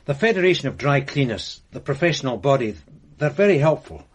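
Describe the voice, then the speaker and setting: An earnest, methodical police sergeant lays out his work step by step. His slightly husky voice rises and falls animatedly, in a vintage radio mystery. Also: mid-range voice, very animated, fairly quick. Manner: earnest, explanatory. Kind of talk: classic radio drama acting.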